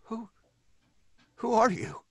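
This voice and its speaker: A man's voice: extremely high pitch, huge pitch swings, takes it slowly, husky, dry recording, clean studio sound, exaggerated voice. An actor playing an irritable, frazzled male writer in an old time radio drama, blustering and stammering with exasperation. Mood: nervous, dramatic.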